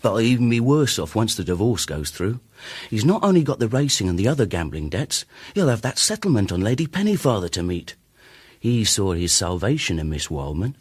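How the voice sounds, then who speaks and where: Worldly gossip in radio mystery: wry, confiding, lowish husky voice, dry close recording. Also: male voice, sing-song, a little fast, dry recording. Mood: wry, gossipy. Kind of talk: dramatised audio mystery, conversational.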